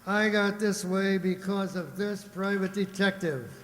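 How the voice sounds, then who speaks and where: A man's voice: high-pitched, unhurried, fairly bright tone. A man playing a sardonic, exasperated police lieutenant in an old-time radio drama style, in a very reverberant recording. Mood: grumbling, exasperated. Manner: sardonic, grumbling, officious. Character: exasperated, sarcastic male police homicide lieutenant. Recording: very reverberant room or hall.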